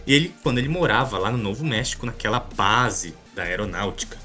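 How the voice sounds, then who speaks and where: A man's voice: on the low side, expressive, a little fast, sharp tone, faint music behind. A man with a Brazilian accent hosting a comics podcast, chatting casually and colloquially with amusement. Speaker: podcast host.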